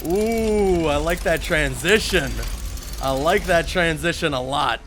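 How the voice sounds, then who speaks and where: A man hosting a casual reaction video, talking in an excited, exclamatory way. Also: on the high side, slow pace, a little hiss or hum. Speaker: video creator, host.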